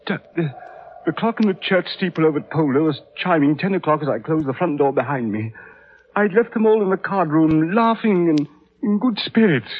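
Distraught gentleman, muffled vintage radio. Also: fairly high pitch, animated, fairly quick, breathy edge. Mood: shaken, earnest. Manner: agitated, faltering, melodramatic. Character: distraught, horror-struck English gentleman reporting a family tragedy. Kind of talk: old-time radio drama acting. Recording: muffled vintage radio recording, faint noise.

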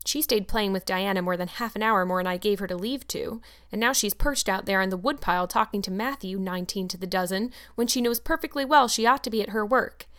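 A woman's voice: mid-range voice, brisk, few pauses, fairly bright tone, lightly acted. A stern, brusque older spinster, as a woman reading the book puts her on. She scolds in a clipped, no-nonsense way. It is a storybook reading. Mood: scolding.

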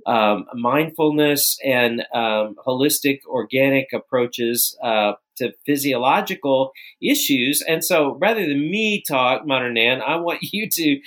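A man's voice: mid-range voice, lively intonation, measured pace, few pauses, a bit bright. A man co-hosting a podcast who laughs often and rambles with fillers. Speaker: podcast co-host, works with recovering people. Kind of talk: podcast conversation.

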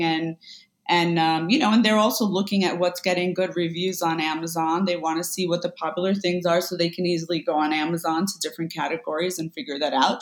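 A woman's voice: lowish voice, roomy sound. A woman talking casually as an interview guest, rambling with lots of fillers. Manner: chatty, rambling, many fillers. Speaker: guest, online seller.

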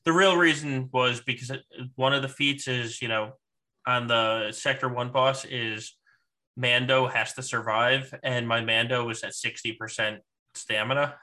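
A man with a mid-range, bright and forward voice chats as co-host of a gaming podcast. His delivery is informal and conversational. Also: mid-range voice, fairly even intonation, measured pace. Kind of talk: casual chat about a mobile game.

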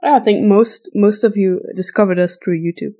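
Female co-host, Flemish accent, native Dutch. Average pitch, informal listener Q&A delivery with hesitant mid-sentence pauses. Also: steady tone, dark tone. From Flanders, Belgium. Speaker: podcast co-host. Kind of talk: casual conversational Q&A.

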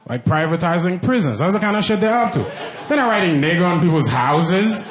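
Stand-up comic, Haitian man, accented English, lively rising and falling delivery, slightly high voice, live crowd laughing. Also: brisk. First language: Haitian Creole. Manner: animated, acts out other voices with big pitch jumps. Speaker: stand-up comedian. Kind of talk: stand-up comedy set. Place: comedy club stage with a laughing audience. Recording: live club audience laughter.

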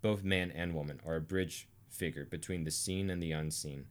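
An American man from Arkansas teaching theology conversationally, speaking deliberately and emphatically with pauses for effect. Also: low voice, steady tone. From Arkansas, United States. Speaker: teacher and co-host of a theology series.

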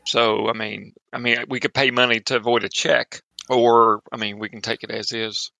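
A man's voice: medium pitch, little pitch movement, moderate pace, vocal fry, bright, forceful tone, dry recording. A man chatting as a player in a tabletop roleplaying game, rambling with casual swearing.

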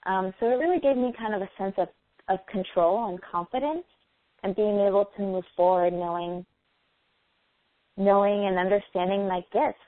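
A woman guest answering interview questions in a casual, earnest, halting way, often restarting her phrases. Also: medium pitch, no room sound. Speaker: brand designer and guest. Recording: tinny phone-like line, dry.

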